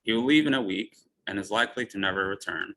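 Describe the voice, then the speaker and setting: A man from Ethiopia, a physician, giving a lecture and framing it with a personal story in a measured way. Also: fairly low pitch, bright, forceful tone, poor audio. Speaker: physician speaker. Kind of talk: lecture talk.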